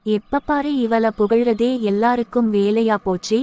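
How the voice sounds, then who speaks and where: A woman voicing a jealous, scheming younger daughter-in-law in an animated family moral short. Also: fairly high pitch, measured pace, smooth, natural voice. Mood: scheming, resentful. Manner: resentful, plotting, speaks thoughts aloud.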